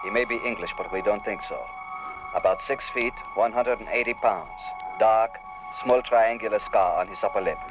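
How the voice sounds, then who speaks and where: An actor playing a sober intelligence officer in a 1950s radio drama, measured and matter-of-fact. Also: male voice, lowish voice, close to an everyday voice. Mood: grave, factual. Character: sober male intelligence officer briefing an agent. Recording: dull old radio recording, loud music.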